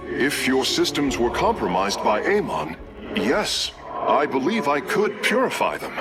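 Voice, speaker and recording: Earnest analytical alien engineer, lively pitch, formal precise phrasing, sci-fi game, loud music behind. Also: male voice, a little slow. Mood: thoughtful, focused. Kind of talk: dramatic sci-fi game voice acting.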